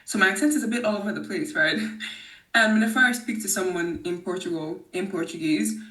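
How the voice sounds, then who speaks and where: A woman from Nigeria, a podcast guest talking in a lively, expressive way and laughing often. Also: medium pitch, fairly quick. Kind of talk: casual conversation. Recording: roomy, distant mic.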